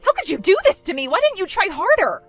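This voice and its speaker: A woman's voice: very high voice, very animated, fast talker, fairly bright tone, soft background music, heavily acted. A woman playing a self-centered young bride who whines and pleads with her sister, in a scripted drama. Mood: shocked.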